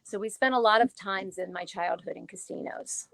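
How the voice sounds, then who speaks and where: A woman in her forties from New Jersey, a guest author telling her life story in a casual interview, chatty and animated, laughing often. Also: mid-range voice, moderate pace, creaky, dry recording, poor audio. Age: 40s.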